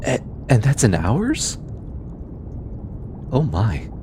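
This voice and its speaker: A man voicing a gentle, teasing alien scientist in an intimate audio roleplay, eager and given to chuckling. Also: mid-range voice, wildly swooping pitch, extremely slow, noisy background, exaggerated voice. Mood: focused, explaining. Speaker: voice actor.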